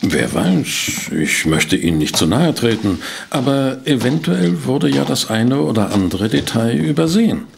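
An actor playing a polite, pensive private detective who narrates and investigates in a German audio drama. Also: male voice, mid-range voice, very animated, unhurried, gravelly, few pauses, a little hiss or hum, heavily acted. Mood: pensive, curious. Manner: courteous, pensive, hesitant. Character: polite, inquisitive male private detective, narrating his case.

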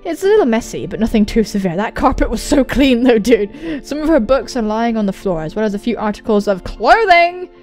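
A young woman hosting a let's play, reading a visual novel aloud and commenting on it with excitable, sweary exclamations. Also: a little high, brisk. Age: young adult. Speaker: gaming video host. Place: indoor room at home, on webcam. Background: game soundtrack music.

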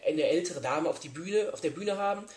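A man's voice: fairly high pitch, fairly quick, a little mellow. A young adult man making a polite, hesitant sales-style prank phone call from a living room. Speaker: prank caller, show host. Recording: echoey room.

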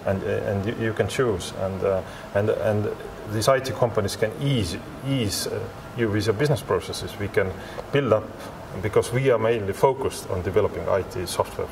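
A man in his forties giving interview answers as a company representative, in halting, repetitive non-native English. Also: fairly low pitch. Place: large glass-walled expo hall, indoors. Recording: clear ambient hum of large venue.

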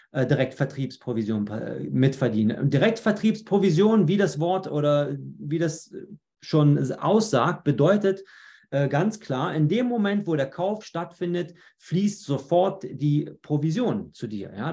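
A man's voice: on the high side, a little mellow. German-speaking man explaining on a screen share. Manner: explanatory, many fillers and restarts. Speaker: webinar presenter.

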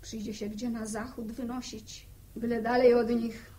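Actress as fretful country mother, high voice, slow bitter lamenting, old radio play. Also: little pitch movement, dark tone, natural voice. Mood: bitter, indignant. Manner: lamenting, rustic folksy phrasing. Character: bitter, worried farmer's wife and mother, lamenting neighbours. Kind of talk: 1960s radio drama acting. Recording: old 1960 radio drama recording.

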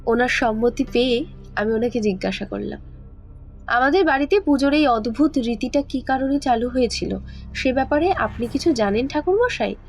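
A woman's voice: a little high, normal speed, creaky. A woman reading scripted first-person narration for a dramatized audio horror story. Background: faint background music.

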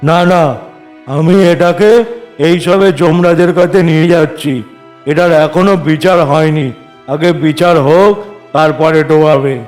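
A man plays a harried servant of death in a cartoon. The voice is clearly high for a man, urging and explanatory, over faint music. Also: fairly even intonation, unhurried, a little mellow, natural voice. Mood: firm. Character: harried messenger of death escorting souls through the underworld. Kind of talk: Bengali cartoon voice acting.

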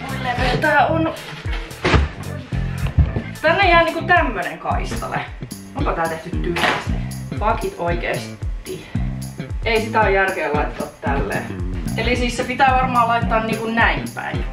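A woman's voice: fairly high pitch, sing-song, long pauses, loud music behind the voice. Chatty young woman in an echoey empty room. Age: 20s. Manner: chatty, self-mocking, swears casually. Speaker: vlogger. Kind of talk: casual DIY renovation vlog. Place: bare room under renovation, indoors. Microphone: handheld camera mic.

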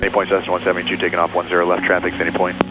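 A man's voice: low voice, completely flat delivery, rapid-fire, over music, poor audio. A man working as a flight instructor, giving short repeated commands and encouragement over cockpit intercom audio.

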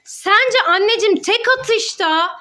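A woman's voice: very high voice, a little slow, bright, forceful tone. A woman hosts a children's play video as a mother. She speaks playfully to young viewers, then turns to her child with affectionate, encouraging words.

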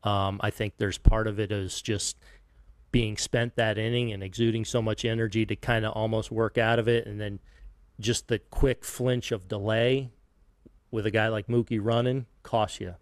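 Man in his 50s, low flat voice, halting and subdued, dry table mic at a press conference. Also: no room sound. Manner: halting, soft-spoken, many restarts and fillers. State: heartbroken, subdued. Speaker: baseball manager. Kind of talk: postgame press conference answers. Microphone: table microphone.

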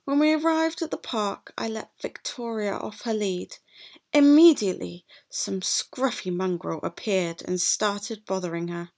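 A woman reading a picture book aloud to children as a teacher, in an animated, deliberate way. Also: medium pitch. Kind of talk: expressive storybook read-aloud for children.

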